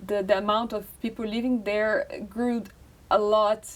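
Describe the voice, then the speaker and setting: A woman's voice: medium pitch, flat delivery, a little slow, far from the mic. A young woman from Brazil with a Brazilian Portuguese accent, a guest talking at length in a casual interview and leaning on fillers like "like" and "uh". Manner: talkative, many fillers like "like" and "uh".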